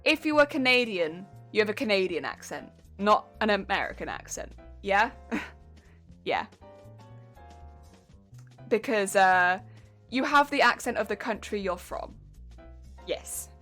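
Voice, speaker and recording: A woman's voice: medium pitch, animated, a little slow, long pauses, a bit bright, faint music behind. A young woman with an English accent, chatting casually on a live stream as she answers chat questions and critiques her own sewing. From England.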